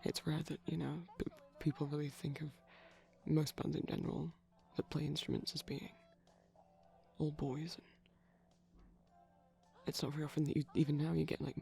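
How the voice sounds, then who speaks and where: A soft, breathy ASMR voice at a medium pitch, with plenty of creak in it. The speaker rambles and explains like a shy, geeky boyfriend watching a film, often sinking into whispers. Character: shy pastel vampire boyfriend, gently geeky. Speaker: ASMR performer, playing a shy boyfriend character. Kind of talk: soft rambling ASMR commentary over a movie. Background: TV playing in the background.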